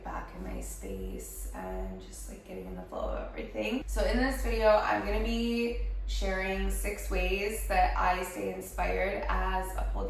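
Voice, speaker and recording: Hoarse woman chatting in an echoey room. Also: medium pitch, recorded from a distance, slight background noise. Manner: chatty, lots of fillers. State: hoarse from a head cold. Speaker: vlogger. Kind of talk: casual chat to camera. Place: her home studio.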